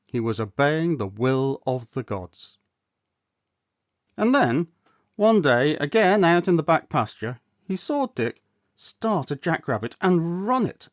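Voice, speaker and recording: A man reading a literary story aloud, with measured, deliberate phrasing and dramatic emphasis. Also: on the high side, sing-song, dry recording, studio quality. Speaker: storyteller, audiobook reader. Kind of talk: scripted literary narration, storytelling.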